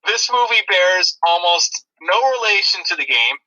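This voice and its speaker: Very bright-voiced man hosting a podcast. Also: sits high, animated, normal speed, dull audio. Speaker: podcast co-host. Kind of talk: movie review podcast chat.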